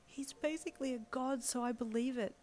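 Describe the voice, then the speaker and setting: Lady doing a timid shop girl superfan, breathy, high and panicky, storybook style. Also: brisk, darkish tone, lightly acted. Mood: awestruck. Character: timid, awestruck shop co-worker, panicky devoted fan.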